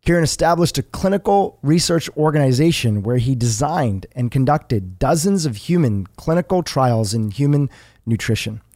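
Male podcast host, mid-pitched voice, measured delivery, close dry recording. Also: animated, dark tone, dry recording. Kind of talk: scripted guest introduction and interview questions.

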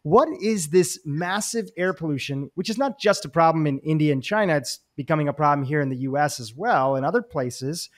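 A man's voice: a little high, animated, fairly quick, hardly any pauses. A man from Kenya hosting an interview, asking conversational questions. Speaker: host.

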